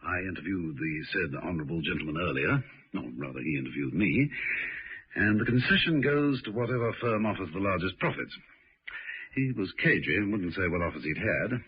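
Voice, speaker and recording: Deep, husky, suave British agent. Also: male voice, expressive, a little fast, heavily acted. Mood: dry, thoughtful. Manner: dry, bantering, gentlemanly. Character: suave, urbane British male secret agent, drily teasing. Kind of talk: radio drama acting. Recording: dull lo-fi old radio recording.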